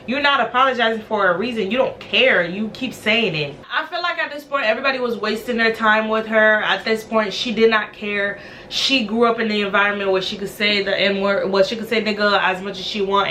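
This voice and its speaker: A woman's voice: fairly high pitch, sharp tone, slight background noise. A woman casually recapping a reality show, chatty and blunt with slang and frequent laughs. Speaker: recap vlogger.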